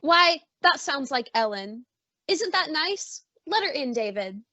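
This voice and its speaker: A woman's voice: high voice, very animated, unhurried, very noisy. A woman acting as a doting, emotional mother in an old-time radio suspense play, fussing tenderly. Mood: fond, fussing. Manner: fussing, cooing endearments.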